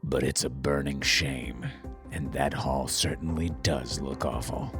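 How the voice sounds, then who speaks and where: An actor playing a stern, blunt, indignant village magistrate in a dramatized audiobook. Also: male voice, very deep voice, unhurried, over music. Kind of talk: dramatized audiobook character acting.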